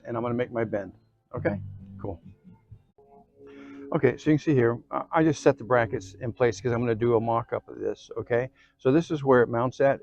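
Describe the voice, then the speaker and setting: Male DIY channel host: mid-pitched, folksy and informal, walking viewers through hands-on work, dry close sound. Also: a little mellow, no room sound, highs cut off. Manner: folksy, informal, frequent check-ins like okay and cool. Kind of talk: casual hands-on how-to narration.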